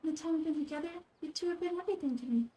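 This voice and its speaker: Woman as a mellow, gentle young girl deity. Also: high voice, slight background noise, close to an everyday voice. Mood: earnest. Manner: measured, musing explanations.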